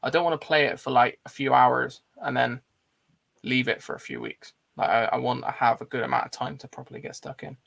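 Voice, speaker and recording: Gravelly man hosting. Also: medium pitch, lively intonation, brisk, fairly bright tone. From Bristol, England. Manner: blokey, informal, says "mate" and "man". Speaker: host of a film discussion livestream. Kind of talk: casual chat, reading out live comments.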